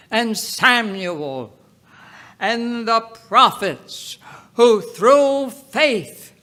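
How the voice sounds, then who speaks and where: A man with a bright, forceful voice reads scripture aloud at an extremely slow pace. He is solemn and dramatic, setting each short phrase apart with a pause and giving it deliberate emphasis. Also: sits high. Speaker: Bible reader.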